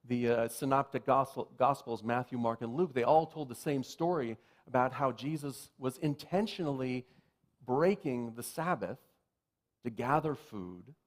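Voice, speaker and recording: A male minister preaching a sermon to his congregation in a conversational way, with self-deprecating jokes, slowing down whenever he reads scripture. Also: mid-range voice. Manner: slows down to read scripture. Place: church sanctuary.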